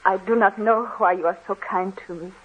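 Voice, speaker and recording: A frail young aristocrat woman in a vintage radio play, voiced by an actress, breathy and shaky with grief. Her medium-pitched voice comes through a dull, muffled old broadcast recording. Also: fairly even intonation, a little fast, lightly acted. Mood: anxious. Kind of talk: old-time radio drama acting.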